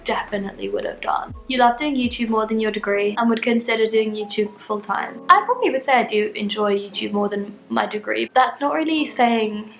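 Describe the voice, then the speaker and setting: A woman's voice: on the high side, a little fast, creaky, few pauses, echoey room, soft background music, rough recording. A 20-year-old woman from London with a southern British English accent, chatting casually and candidly to camera as a vlogger. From London, England.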